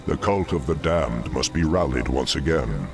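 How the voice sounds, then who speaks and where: An actor playing a sinister, commanding demon dreadlord in a fantasy game, slow and formal in his menace. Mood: menacing, smug. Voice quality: very deep, gravelly. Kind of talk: fantasy video game acting. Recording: music under the voice.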